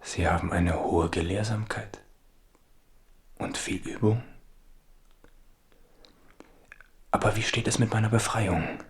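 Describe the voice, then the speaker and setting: A man's voice: fairly low pitch, moderate pace, close to an everyday voice. An actor playing a guarded, pleading, wry young king in a German radio drama. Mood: coaxing.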